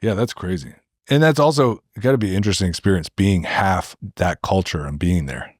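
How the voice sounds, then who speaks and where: An American man co-hosts a podcast in a fairly low voice. He rambles casually through opinions and anecdotes, filling sentences with "like" and voicing imagined remarks. The recording is dry, with little room sound. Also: a little fast, no echo. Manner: rambling, filler-heavy, acts out imagined remarks. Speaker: podcast co-host.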